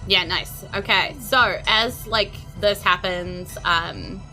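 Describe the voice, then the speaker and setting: A woman's voice: medium pitch, slow, bright, forceful tone, soft background music, poor audio. A woman with a New Zealand accent improvising narration as game master of a tabletop role-playing game.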